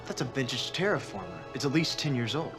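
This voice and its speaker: An actor playing a cocky, eager young space marine recruit in a scripted game cutscene, with a breezy manner. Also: male voice, mid-range voice, lively intonation, fairly quick, music in the background, lightly acted. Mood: curious.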